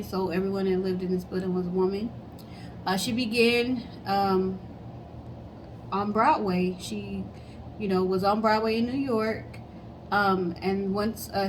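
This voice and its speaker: A woman's voice: medium pitch, background noise. A woman with a mid-range voice co-hosts a casual storytelling show. She talks in a friendly, upbeat manner. There is slight room ambience behind her. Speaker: co-host.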